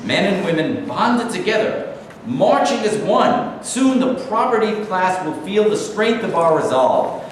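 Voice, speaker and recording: A man acts out a fervent Marxist agitator in a staged reading, declaiming slogans solemnly in a high male voice. The sound is very echoey and distant. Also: big pitch swings, unhurried. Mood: fervent, rousing. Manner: solemn, slogan-laden oratory. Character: fervent male Marxist orator preaching revolution. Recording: very echoey room, distant mic, background noise.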